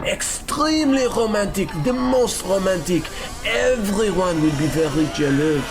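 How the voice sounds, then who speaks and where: An actor playing a sly, flattering ticket tout in a comedic radio play, with a wheedling delivery. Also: male voice, sits high, unhurried, music in the background. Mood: oily, flattering. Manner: wheedling, salesman-like.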